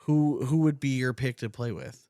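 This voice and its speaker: A man's voice: medium pitch, fairly even intonation, moderate pace, dark tone, no echo, studio quality. A man hosting a casual sports podcast, chatting in a blunt, sweary, slangy way. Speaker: podcast host.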